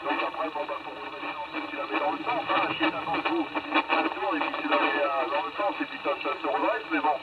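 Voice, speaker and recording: A man talks casually over CB radio while driving. His voice sits a little high with a gravelly creak, and he repeats himself as he goes. The signal is muffled and swamped by heavy noise. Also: fairly quick, few pauses. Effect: two-way CB radio transmission. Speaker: amateur radio operator driving a car. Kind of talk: casual two-way radio chat. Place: inside a moving car. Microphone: radio microphone. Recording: very noisy, muffled CB radio from moving car.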